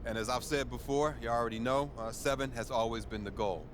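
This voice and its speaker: A man's voice: mid-range voice, brisk, close to an everyday voice. A man playing a confident, easygoing champion basketball star, answering reporters in a casual, colloquial way. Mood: proud, relaxed. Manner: relaxed, colloquial, chuckling, self-assured. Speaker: pro athlete at a press conference. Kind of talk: casual press-conference answers. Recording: noisy outdoor crowd, street ambience.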